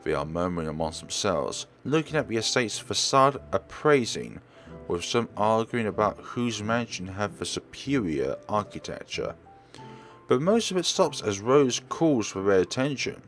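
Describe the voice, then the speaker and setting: A man's voice: lowish voice, big pitch swings, normal speed, a bit bright, faint music behind. A man reading a visual novel aloud as a let's player, narrating expressively and sometimes stumbling and correcting himself.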